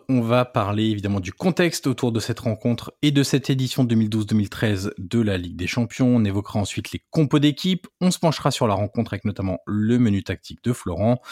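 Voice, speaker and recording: A man's voice: medium pitch, no echo, clean studio sound. A man hosting a football podcast who lays out the episode plan in an orderly way. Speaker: podcast host.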